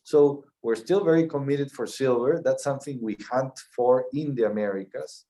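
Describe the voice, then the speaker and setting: Measured Peruvian-accented man, mellow voice. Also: mid-range voice. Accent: Peruvian Spanish. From Peru. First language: Spanish. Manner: measured, restarts and repeats words. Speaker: mining company CEO. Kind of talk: interview answers.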